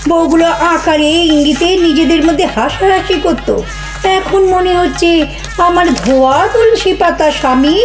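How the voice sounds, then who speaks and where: A woman playing a sharp-tongued, bickering wife in a comedy drama, scolding and mocking her husband with theatrical sarcasm. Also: sits high, echoey room. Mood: mock outraged. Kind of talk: Bengali audio comedy play acting.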